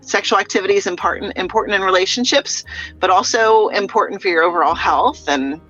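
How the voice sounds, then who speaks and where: A woman's voice: mid-range voice, brisk, fairly bright tone, lo-fi sound, soft background music. A woman doctor chatting casually on a video call, frank and encouraging, with lots of "you know" fillers. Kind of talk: casual chat.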